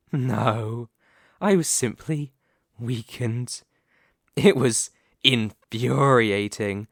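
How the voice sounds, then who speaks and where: Casting: male actor as haughty, possessive Demon King for ASMR roleplay; unhurried, teasing, theatrical, recorded close and dry. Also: medium pitch, no room sound. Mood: haughty, scornful. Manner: slow, teasing, theatrical delivery with dramatic pauses. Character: mighty male Demon King, taunting, possessive, silkily obsessed with hero. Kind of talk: ASMR roleplay audio drama.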